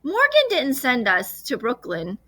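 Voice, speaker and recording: A woman's voice: high-pitched, huge pitch swings, unhurried, bright, forceful tone, heavily acted. A woman voicing an eager, earnest young boy adventurer in a children's storybook.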